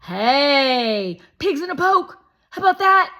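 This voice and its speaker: A woman voicing a loud, bossy, boastful young boy in a children's storybook, exuberant and pushy. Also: high-pitched, very animated, slow pace, pitched above the performer's own voice, heavily acted. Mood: pushy, excited. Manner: exuberant, pushy, self-assured.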